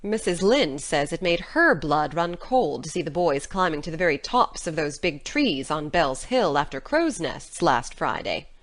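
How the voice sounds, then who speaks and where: An actress playing a stern, critical country guardian who scolds brusquely in a dramatized audiobook. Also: female voice, low voice, sing-song, unhurried, few pauses. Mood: disapproving.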